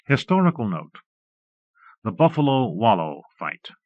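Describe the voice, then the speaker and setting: A man's voice: fairly low pitch, sing-song, measured pace, dry recording. Man narrating, dull cassette sound. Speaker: audiobook narrator. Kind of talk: scripted narration of Western fiction and history.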